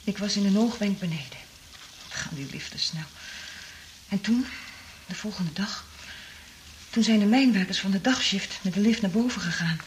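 An actress in a radio drama playing a traumatised woman survivor, half-mad from isolation, speaking in an anguished, halting, dramatic way. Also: mid-range voice, a little hiss or hum, close to an everyday voice. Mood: haunted recounting.

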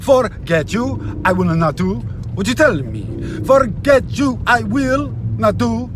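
A middle-aged man giving a theatrical, ranting spoken recitation, erratic in delivery, moving between low muttering and shouting. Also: slow. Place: inside a moving car. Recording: noisy car interior with engine rumble.

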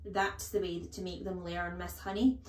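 A woman voicing a bullying, booming headmistress who barks her words slowly and with contempt, for an audiobook. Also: level tone, reverberant, lightly acted. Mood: barking, scornful. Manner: loud, barking, slow and contemptuous. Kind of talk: audiobook character voice.

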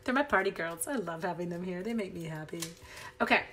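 A woman's voice: fairly low pitch, animated, fairly quick, fairly bright tone. A middle-aged woman from Canada, chatty and upbeat, leading a live card-making tutorial at her craft desk while she talks with viewers.

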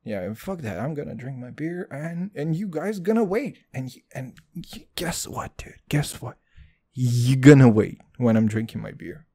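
Crude, excitable man ranting. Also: mid-range voice, moderate pace, husky. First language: Hebrew. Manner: crude, loud swings, calls listeners dude. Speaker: podcast host. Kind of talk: comedic solo podcast rant.

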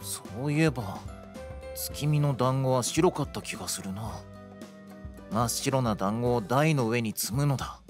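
男性声優が、古風で堅い言い回しをする真面目で落ち着いた武人をゲームのラジオドラマで演じている。. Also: male voice, medium pitch, music in the background, natural voice. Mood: calm, puzzled. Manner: measured, stiff old-fashioned phrasing. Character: calm, earnest male warrior with archaic speech. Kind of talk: game radio drama acting.

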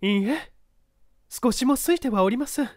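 A woman acting out an uneasy widow under questioning in a mystery story. Her voice sits fairly high, recorded dry and close. She answers politely and formally, in measured phrases. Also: lively intonation, no echo, natural voice. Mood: uneasy, subdued. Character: uneasy widow testifying before an examining judge. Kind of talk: dramatised mystery story reading.

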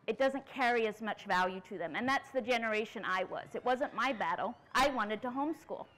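A woman's voice: on the high side, a bit bright, poor audio. A woman in her forties from the United States, speaking earnestly to a conference audience in a large tent and asking them questions as she tells her story. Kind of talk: lecture.

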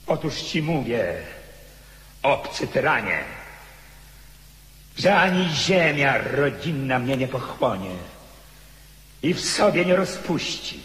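A man's voice: mid-range voice, big pitch swings, fairly bright tone. An actor playing the proud, defiant ghost of a rebel nobleman in a declamatory radio drama style. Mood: defiant, scornful. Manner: declamatory, slow, impassioned. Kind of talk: theatrical radio drama acting. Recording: echoey hall with long reverb.

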